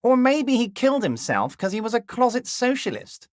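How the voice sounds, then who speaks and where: Scheming jovial union boss, video game, ingratiating, lively swooping pitch, dry close recording. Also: male voice, normal speed, dry recording. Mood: smug, ingratiating. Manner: smooth and ingratiating, drops low and soft on pet names; blunt and crude at times. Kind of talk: video game character dialogue.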